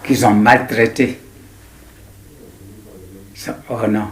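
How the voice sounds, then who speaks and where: A man in his nineties with an Ivorian accent, recounting his memories in an interview in a deliberate, halting way with repetitions. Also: mid-range voice, flat delivery. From Ivory Coast. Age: 90s. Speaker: interviewee, writer. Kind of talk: personal recollection in an interview.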